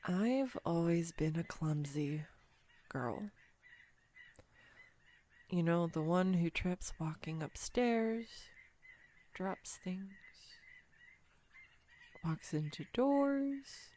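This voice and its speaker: A woman's voice: lowish voice, expressive, very slow, long pauses, darkish tone. A woman playing a clumsy, giggly, self-deprecating girlfriend on a date, in an intimate one-sided roleplay audio drama. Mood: shy, confiding. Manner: flustered, self-deprecating, chatty with bursts of laughter.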